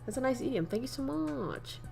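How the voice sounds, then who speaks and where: A woman's voice: high-pitched, lively intonation, brisk, gravelly, warm tone, faint music behind. A young woman from northern Vietnam with a Vietnamese accent, chatting casually on a livestream while she teaches idioms and laughs often. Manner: chatty, laughs often, reads chat aloud.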